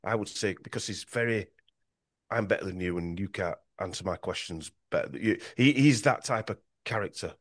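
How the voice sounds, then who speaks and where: Yorkshire male guest, low register, rambling podcast talk, dry booth sound. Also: a little fast, husky, no room sound. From Yorkshire, England. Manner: rambling with frequent restarts and stumbles. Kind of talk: podcast conversation.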